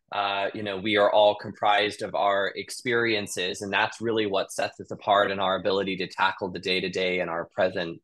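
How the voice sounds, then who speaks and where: An American man in his forties speaks with a bright, forward voice set a bit low. He is earnest and measured, leaving deliberate pauses. The delivery is that of a motivational talk to students on a video call. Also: rough recording. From Texas, United States. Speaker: creative executive, guest speaker.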